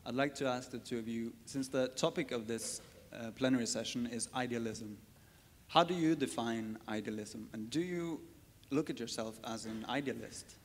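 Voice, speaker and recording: A man's voice: mid-range voice, moderate pace. Male moderator in a reverberant hall. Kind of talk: moderating a panel. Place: large festival hall. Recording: reverberant hall, faint noise.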